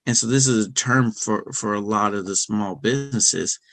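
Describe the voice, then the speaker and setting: Middle-aged guy on a podcast, lowish voice, dry close sound, lots of uhs and restarts. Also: moderate pace, dry recording. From United States. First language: English. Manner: hesitant, many restarts and fillers, laughs softly. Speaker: podcast guest. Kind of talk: casual interview conversation.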